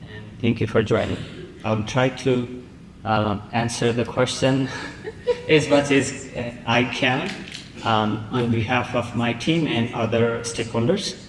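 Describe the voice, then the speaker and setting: A man from Nepal speaks as a panel guest, halting and full of fillers and pauses. His voice rings out in a large hall with a long reverb and a faint hiss behind it. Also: mid-range voice. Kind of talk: conference panel answers. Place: large conference room.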